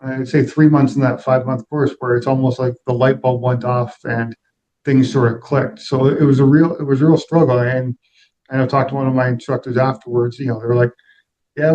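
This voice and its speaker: A middle-aged man speaks as an interview guest in a conversational register. His delivery is reflective and plain-spoken, with little rise and fall in pitch. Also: dark tone, muffled recording. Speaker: interview guest, aviation executive.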